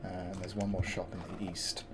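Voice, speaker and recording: A man with a gravelly morning voice, casually commenting on his game as he plays, thinking aloud with fillers and restarts. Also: sits low, flat delivery, moderate pace, slight background noise. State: tired morning voice. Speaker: gameplay commentator. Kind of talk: casual let's-play commentary.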